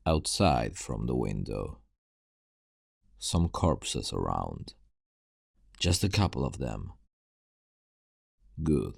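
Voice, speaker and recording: A man acts out a world-weary survivor of a zombie apocalypse for an ASMR roleplay, guarded and terse. The voice is extremely deep and thick with gravel. It moves slowly but swings expressively, recorded dry and close. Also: no echo, full character voice. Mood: wary, bitter. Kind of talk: ASMR roleplay acting, addressing the listener.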